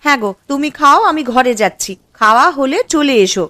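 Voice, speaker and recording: A woman playing a bossy but caring housewife in a cartoon moral story, brisk and quick to nag. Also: sits high, bright, forceful tone, no echo. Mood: dismissive. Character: bossy, caring housewife, easily piqued by husband.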